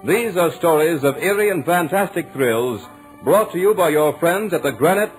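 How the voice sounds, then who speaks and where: A man announcing a 1940s radio show in a grand, dramatic, deliberate scripted style. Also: on the high side, animated, faint music behind, rough recording.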